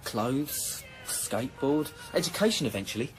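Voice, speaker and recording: A mid-range male actor's voice for a self-regarding small-time crook in a radio drama. He is breezy and colloquial with animated intonation, over faint music. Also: medium pitch, moderate pace, natural voice, low-quality recording. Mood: cocky, persuasive. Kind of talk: 1990s radio thriller acting.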